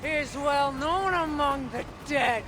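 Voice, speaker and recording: Slow, menacing queen of the dead. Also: sits high, music in the background, poor audio. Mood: cold, imperious. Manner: slow, commanding, regal threats. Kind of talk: dramatic game cutscene acting. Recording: loud game ambience over the voice.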